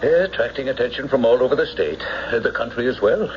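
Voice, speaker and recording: Actor as self-important, swooping radio-drama banker. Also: male voice, mid-range voice, lively intonation, fairly quick, natural voice. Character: self-important, hesitant male small-town bank president. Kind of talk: old-time radio drama acting. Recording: muffled vintage radio recording, faint noise.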